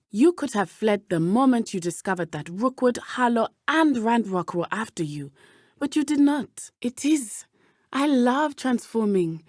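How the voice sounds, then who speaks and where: A young woman playing a brave, principled teenage witch student in a video game, with formal, measured phrasing. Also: lowish voice, darkish tone, no echo, clean studio sound. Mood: resolute, frustrated.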